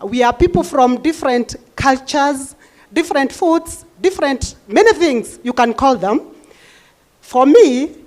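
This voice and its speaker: A middle-aged woman with a Kenyan accent, a university staff member speaking on a panel, calm and measured, mixing in Swahili phrases. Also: high-pitched. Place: university hall forum.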